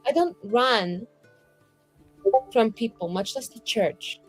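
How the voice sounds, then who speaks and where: Woman playing a proud, animated young noblewoman. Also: a little high, slow, soft background music. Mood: defiant.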